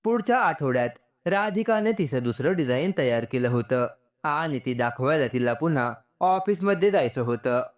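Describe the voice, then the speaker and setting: A man's voice: fairly high pitch, animated, moderate pace. Male storyteller narrating.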